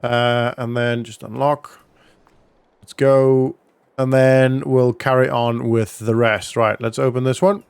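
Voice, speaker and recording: Clear-voiced man narrating gameplay casually. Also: medium pitch, little pitch movement, takes it slowly, dry recording. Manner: informal, thinking aloud as he goes. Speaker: gaming vlog host. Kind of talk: casual roleplay gameplay commentary.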